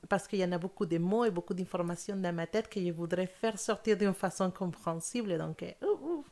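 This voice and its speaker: A woman's voice: on the low side, fairly quick, dark tone. A young woman whose first language is not French, giving a monthly tarot reading in an earnest, hesitant way with many fillers and restarts. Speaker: tarot reader.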